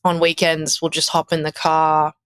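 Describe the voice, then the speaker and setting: A woman's voice: sits low, completely flat delivery, normal speed, a bit bright, clean tone, dry recording. A woman in her thirties with an Australian accent, chatting casually as a podcast guest and laughing often. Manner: chatty, laughs often, restarts mid-sentence. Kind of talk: casual conversation.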